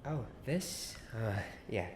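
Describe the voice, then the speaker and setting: A man's voice: medium pitch, big pitch swings. A man playing a friendly, secretive wizard-school companion in an ASMR roleplay, speaking in a hesitant, confiding way and often dropping to a whisper. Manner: hesitant, halting, hushed and confiding. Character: friendly, secretive Hogwarts companion eager for a ghost party. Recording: clearly noisy ambient background.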